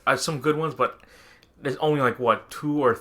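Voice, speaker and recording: A man's voice: mid-range voice, moderate pace, fairly bright tone. A man co-hosting a podcast in casual, jokey chat about movies, given to laughing as he talks. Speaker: podcast host.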